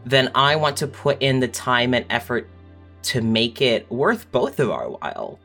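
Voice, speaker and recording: A woman talking tenderly and earnestly as a writer in a heartfelt panel conversation, choosing words with deliberate care. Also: extremely low pitch, very animated, a bit bright. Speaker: audio fiction writer on a panel. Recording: music playing behind the voice.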